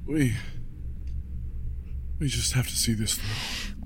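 A man's voice: mid-range voice, slow pace, slight background noise, lightly acted. An actor playing a desperate, imperious king in an audio drama, with an urgent, commanding manner. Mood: tense, weary.

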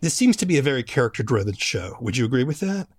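Conversational male podcast interviewer, medium pitch. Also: big pitch swings, fast talker, slightly rough.

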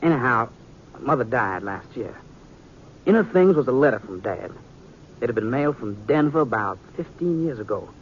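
Earnest young western sheriff played by an actor, muffled. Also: male voice, animated, normal speed, slight background noise, natural voice. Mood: earnest, wistful. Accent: American. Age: young adult. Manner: plain-spoken, earnest. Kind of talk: 1950s radio western acting. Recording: muffled, rumbly 1950s radio recording.

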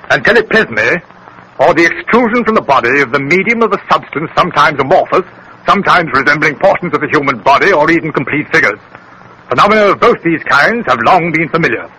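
An actor playing a grave, assured colonel in a 1930s radio drama, speaking in a formal, declamatory, lecturing way. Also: male voice, on the high side, pushed and bright. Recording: noisy 1930s radio recording.

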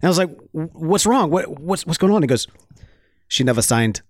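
A man in his thirties chatting on a podcast, his voice a touch high and full of swoops. He rambles and restarts often, laughing as he goes. The sound is dry and close. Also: expressive, brisk, no room sound. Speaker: podcast co-host. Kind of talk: casual podcast chat.

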